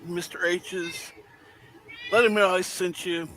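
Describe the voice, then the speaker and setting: A man's voice: high-pitched, steady tone, takes it slowly, sharp tone, slight background noise, low-quality recording. A man hosting a casual livestream chat, mostly chiming in with brief agreement.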